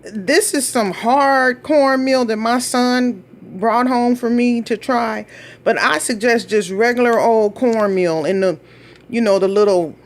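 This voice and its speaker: A woman's voice: medium pitch, a little hiss or hum. A middle-aged woman from Minnesota giving a chatty, folksy cooking demonstration in her home kitchen, talking straight to viewers. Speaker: cooking vlogger.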